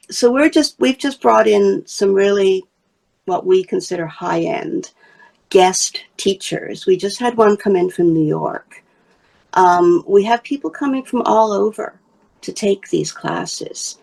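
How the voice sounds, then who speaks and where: A woman's voice: mid-range voice, slow, gravelly, a little mellow, low-quality recording. A woman from Wales, a basketry artist and teacher, chatting in an interview while she shows and explains her work. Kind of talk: casual interview talk.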